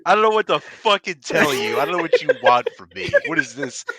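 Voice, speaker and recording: A man's voice: high voice, big pitch swings, brisk, vocal fry, bright, forceful tone. A man playing a tabletop game, talking casually in a sardonic, exasperated way with plenty of swearing.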